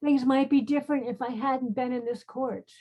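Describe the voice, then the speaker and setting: A woman plays a doomed young queen in a stage drama. Her voice is high, and she speaks in an earnest, measured way, proud and devout. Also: steady tone, highs cut off. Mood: wistful, earnest. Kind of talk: online play reading.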